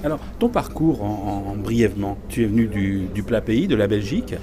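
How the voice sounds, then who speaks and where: A man's voice: lowish voice, lively intonation, brisk, music in the background. A man hosting a radio interview, asking brief, friendly questions. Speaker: radio host. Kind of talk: casual interview.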